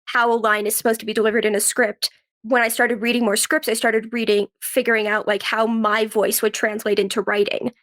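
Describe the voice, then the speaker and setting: A woman in her twenties chatting casually as an interview guest, telling stories in an animated way with quoted asides. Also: on the high side, a little fast. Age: 20s. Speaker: podcast guest, actress and writer.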